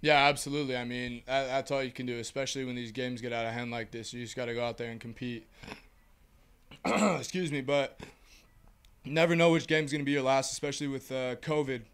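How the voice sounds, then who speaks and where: Young man, ballplayer, flat monotone, very clear voice, relaxed answers with fillers. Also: medium pitch, a little fast. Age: young adult. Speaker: college baseball player. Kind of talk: postgame interview answers. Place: small indoor press backdrop. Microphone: handheld mic held by host.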